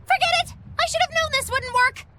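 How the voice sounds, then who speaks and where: A woman's voice: extremely high pitch, fairly quick, sharp tone, slight background noise. A woman voicing a playful, scheming young witch in a video game, with a dramatic, teasing manner. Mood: indignant. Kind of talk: English video game voice acting.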